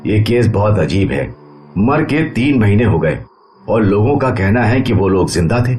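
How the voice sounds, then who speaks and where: A man's voice: fairly low pitch, big pitch swings, normal speed, a little mellow, faint music behind. A man voicing a suspicious, authoritative police inspector in a cartoon horror story. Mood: troubled. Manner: authoritative, questioning. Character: stern, suspicious, puzzled male police inspector.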